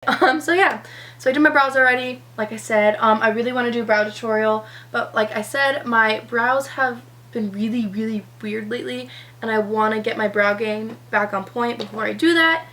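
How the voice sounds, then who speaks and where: A seventeen-year-old girl chatting casually through a makeup routine, slightly hoarse from a cold, rambling with lots of "um" and "like". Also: fairly high pitch, normal speed, a bit bright. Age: teenager, 17. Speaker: beauty vlogger. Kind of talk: chatty get-ready-with-me vlog.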